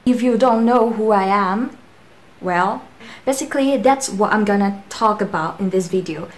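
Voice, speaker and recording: A woman's voice: mid-range voice, moderate pace, slight background noise. A young woman from Indonesia, a native Indonesian speaker, talking to camera in a casual, rambling, self-deprecating way as a channel host in a small bedroom. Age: young adult. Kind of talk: casual vlog talk to camera. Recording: roomy echo with music behind.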